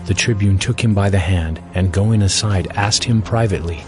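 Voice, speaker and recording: A man's voice: low voice, moderate pace, music in the background. A man narrating scripture as a scripted audio Bible reading.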